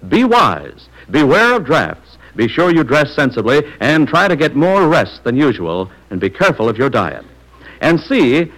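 A man delivering a scripted 1940s radio commercial as an announcer, with emphatic, measured salesmanship. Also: fairly high pitch, a bit bright, poor audio.

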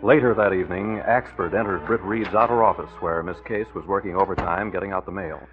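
A man's voice: deep voice, steady tone, fairly quick. A man narrating an old-time radio drama as its announcer, in a scripted 1940s style. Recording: muffled old radio recording, music behind. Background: music.

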